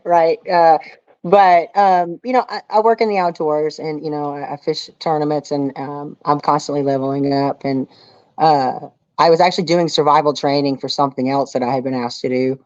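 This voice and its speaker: A woman's voice: low-pitched, normal speed, a little mellow, no room sound. A woman speaks casually as an interview guest, telling things in an informal, emphatic way. The sound is dry and a little dull, with a lo-fi edge. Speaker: reality show castaway being interviewed.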